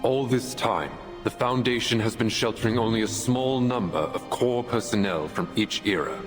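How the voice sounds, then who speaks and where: An actor playing an earnest, resolute military officer in a game, making a measured, formal and persuasive case to a tribunal. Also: male voice, lowish voice, lightly acted. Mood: firm, reasoned. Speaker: soldier defending himself at a tribunal. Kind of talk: dramatic game voice acting. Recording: long hall reverb, music behind.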